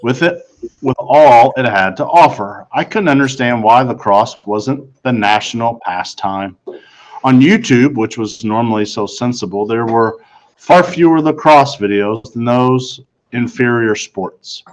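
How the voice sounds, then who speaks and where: A man's voice: mid-range voice, a little slow, low-quality recording. A man narrating a first-person audiobook story in a formal, precisely worded manner. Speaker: audiobook reader.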